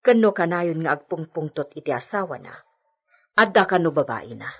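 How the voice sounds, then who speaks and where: An actress playing a gossipy, motherly household servant in a radio drama, fussing in a husky voice. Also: sits low, animated, normal speed, fairly bright tone, heavily acted. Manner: nagging, confiding, fussing.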